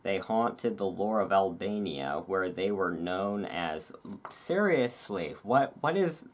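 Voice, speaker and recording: A person reading aloud in a relaxed way with casual, rambling asides, halting and self-deprecating about it. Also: low voice, slight background noise. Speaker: reader and host. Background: thunderstorm ambience.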